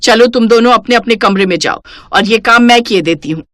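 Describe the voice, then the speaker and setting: A woman voicing a responsible, middle-aged elder sister-in-law in a cartoon story, brisk, stern and admonishing. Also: fairly high pitch, a bit bright, no room sound, studio quality, lightly acted. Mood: scolding. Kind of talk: Hindi cartoon moral story acting.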